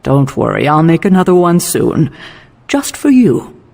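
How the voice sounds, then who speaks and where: Game mentor: fatherly, fond, measured, gravelly and fairly high, in long reverb. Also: very animated, darkish tone, heavily acted. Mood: fond, gentle. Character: gentle, fatherly male mentor, affectionate and mischievous. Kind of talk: video game cutscene acting.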